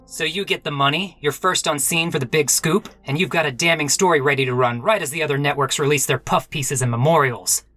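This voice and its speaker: An actor playing a sardonic, melodramatic hard-boiled private detective in a parody radio mystery. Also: male voice, on the high side, a little fast, few pauses, sharp tone, soft background music. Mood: probing, knowing.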